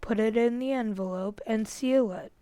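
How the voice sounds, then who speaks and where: A woman's voice: fairly high pitch, normal speed, warm tone, smooth, no room sound, natural voice. A woman playing a bossy, know-it-all older boy in an old-time radio family comedy. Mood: superior, matter-of-fact. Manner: superior, matter-of-fact.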